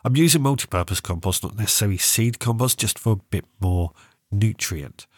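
Congested male podcast host, low voice, casual rambling gardening chat, dry close mic. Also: expressive, brisk, no echo. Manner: rambling, self-correcting, chatty. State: congested, sore throat and cough.

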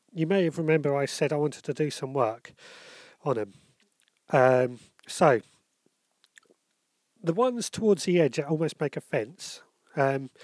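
Stuffy-nosed male podcast host, slightly high voice, dry close sound, chatty and full of ums. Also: a little mellow, no room sound. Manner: rambling, lots of ums and self-corrections. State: bunged up with a cold. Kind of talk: casual solo podcast.

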